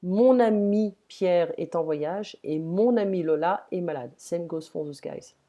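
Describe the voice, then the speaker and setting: A woman teaching grammar in English, patiently modelling each word slowly. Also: mid-range voice, warm tone. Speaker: French tutor. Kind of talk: one-on-one language lesson, explaining grammar.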